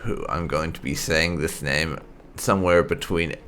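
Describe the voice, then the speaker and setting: A man's voice: extremely low pitch, moderate pace, fairly bright tone. A man giving casual, rambling let's play commentary as a gaming YouTuber.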